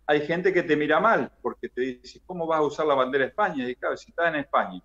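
A man's voice: a little high, fast talker, a bit bright. Man, middle-aged, Argentine accent, chatty interview guest, muffled lo-fi call audio, plain room. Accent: Argentine Spanish. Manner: chatty, fills with "eh" and "viste", restarts phrases. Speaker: interview guest, former basketball player. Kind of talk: casual interview over video call. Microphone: video call. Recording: dull lo-fi video call audio.

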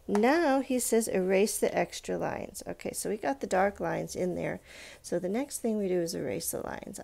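Female drawing teacher, slightly low pitch, creaky voice, informal step-by-step instruction, encouraging, thinking aloud.

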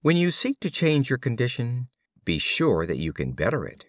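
A man narrating short fables as an audiobook reader, with an expressive, deliberate storytelling delivery. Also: medium pitch, no room sound, clean studio sound.

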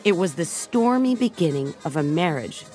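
A middle-aged woman narrating a children's storybook in a gentle, measured way, softening her voice at tender moments. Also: on the low side, animated. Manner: gentle, measured storytelling with soft dips in volume. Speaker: audiobook narrator. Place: recording studio. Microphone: close studio microphone. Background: background music.

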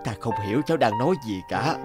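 A man's voice: medium pitch, animated, quick pace, natural voice. An actor playing a stern, busy older businessman in a cartoon, with music behind him. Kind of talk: cartoon voice acting.

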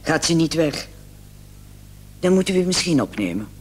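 A man playing a brisk, condescending emergency doctor on night duty in a vintage radio play. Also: on the high side, sing-song. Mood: clinical, matter-of-fact. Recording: old radio recording with clear hiss.

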